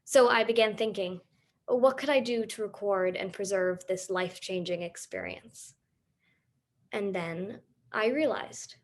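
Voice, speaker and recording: A young female student narrates her own documentary play, speaking as a storyteller in a scripted first-person monologue. Her voice sits at an ordinary middle pitch for a woman. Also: a little slow. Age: teens to young adult.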